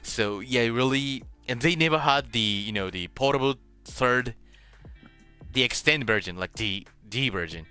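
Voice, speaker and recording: A man hosting a casual streamed chat, halting as he searches for words in a language that is not his native Japanese, sometimes switching to Spanish. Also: medium pitch, bright, forceful tone, clean tone. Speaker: host of a streamed radio show. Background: music.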